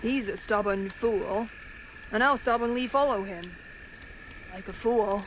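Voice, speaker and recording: A woman's voice: mid-range voice, unhurried, lightly acted, low-quality recording. Actress as playful young samurai noblewoman, faint noise. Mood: fond. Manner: teasing, self-assured. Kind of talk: video game cutscene voice acting.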